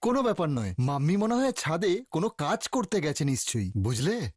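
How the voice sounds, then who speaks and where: A man's voice: on the high side, brisk, breathy edge, dry recording, studio quality. A man voicing a playful, indulgent, conspiratorial old grandfather in a cartoon dub. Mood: scheming. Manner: animated, expressive. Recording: noisy track with clicks.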